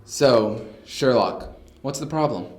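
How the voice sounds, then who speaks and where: Guy acting philosophical older brother, supportive, expressive voice, amateur radio play, roomy. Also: mid-range voice, slow pace. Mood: reassuring, reflective. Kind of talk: amateur audio drama acting. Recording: echoey room.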